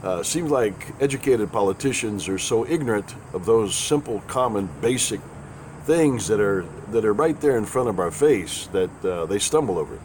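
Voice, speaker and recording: Raspy low-voiced man with a head cold. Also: expressive, normal speed, a little mellow, slight background noise. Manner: folksy, sarcastic, rambling. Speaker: host. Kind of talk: casual spoken commentary.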